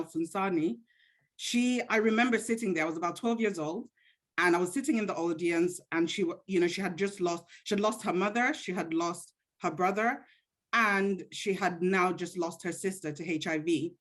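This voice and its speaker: A young woman from Zambia talks through her story as an interview guest. Her tone is friendly and modest, and her conversational answers unfold at an easy pace. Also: fairly low pitch. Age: young adult. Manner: friendly, laughs lightly, self-effacing.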